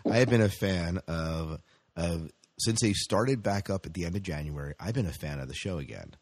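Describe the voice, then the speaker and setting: Very low-voiced man chatting on podcast. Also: expressive, normal speed, darkish tone, no echo. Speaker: podcast co-host. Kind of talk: casual chat about sci-fi TV shows.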